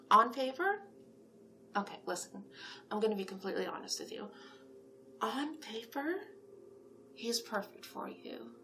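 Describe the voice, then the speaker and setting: Creaky-voiced gushing matchmaker, played by a woman. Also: mid-range voice, fairly bright tone, a little hiss or hum. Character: chatty, encouraging female monster matchmaker pitching candidates to a client. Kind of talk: horror roleplay ASMR, one-sided conversation.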